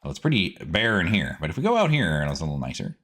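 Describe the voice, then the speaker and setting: A man's voice: low-pitched, wildly swooping pitch, quick pace, fairly bright tone, slight background noise. A man hosting a casual gaming video, chatting to himself playfully as he plays, with silly words and sing-song asides. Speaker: gaming host. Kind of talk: casual game commentary, let's play.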